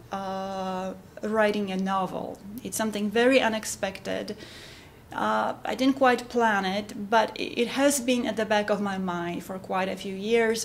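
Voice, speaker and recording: Middle-aged woman, Polish accent, creaky voice, unhurried pace, halting informal monologue, faint background noise. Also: medium pitch. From Poland. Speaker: composer giving updates.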